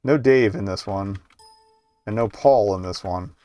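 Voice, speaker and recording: A man's voice: lowish voice, a little slow, mellow. Man rambling through game commentary over music. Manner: rambling, hesitant, muttered asides. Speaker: streamer playing and commenting on a game. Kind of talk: casual live gameplay commentary.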